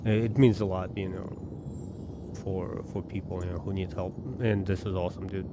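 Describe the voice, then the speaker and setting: A man's voice: deep voice, level tone, normal speed. A man with an Armenian accent streaming a space game, chatting casually with his viewers and often repeating his words. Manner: rambling, repeats words, talks to chat. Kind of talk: casual live-stream chat while gaming. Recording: game noise behind the voice. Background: game sounds.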